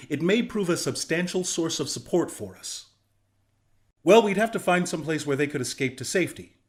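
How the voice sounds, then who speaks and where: A man's voice: on the high side, close to an everyday voice. A young man playing an earnest, plainspoken griffin rebel and former soldier in a video game. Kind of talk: video game character dialogue.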